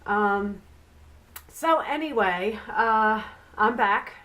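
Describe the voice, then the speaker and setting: A woman's voice: mid-range voice, very slow, fairly bright tone. A middle-aged woman hosts a casual beauty review vlog, and she sounds nasal and congested from a flu. She talks in a chatty, rambling way, with lots of fillers along the way. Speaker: beauty vlogger.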